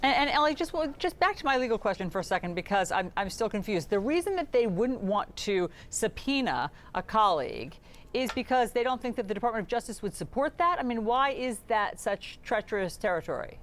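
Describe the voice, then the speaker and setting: A woman's voice: a little high, big pitch swings, a little fast, a little hiss or hum. A woman anchoring live news, asking analysts questions in a conversational way.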